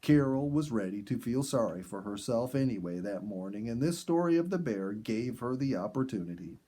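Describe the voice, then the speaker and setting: A man reading a story aloud in an expressive, measured storybook narration style. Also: mid-range voice. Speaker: audiobook reader and host.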